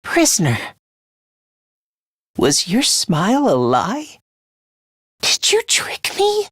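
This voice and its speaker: A man's voice: sits high, huge pitch swings, slow pace, a bit bright, slightly rough, no echo, studio quality, heavily acted. A man voicing a timid, self-loathing young male academy fighter in a video game, hesitant and prone to stammering. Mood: earnest, pleading.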